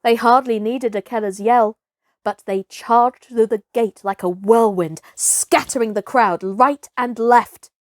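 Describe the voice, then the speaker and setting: Unhurried woman reading a story. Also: on the high side, no echo, clean studio sound. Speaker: storyteller, actress. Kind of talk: expressive scripted children's story narration.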